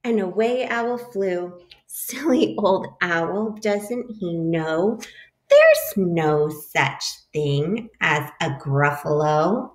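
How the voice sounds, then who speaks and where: A woman in her forties, a teacher reading a children's picture book aloud to kids from her kitchen over a webcam, voicing the animals with lively turns. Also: lowish voice, extremely slow. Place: home kitchen, indoors. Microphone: webcam at arm's length. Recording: roomy echo.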